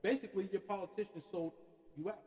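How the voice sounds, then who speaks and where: A man hosting a podcast, ranting in an animated, profane way and often asking "you know what I'm saying". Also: high-pitched, quick pace. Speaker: podcast host. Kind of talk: opinionated casual rant. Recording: hall-like reverb.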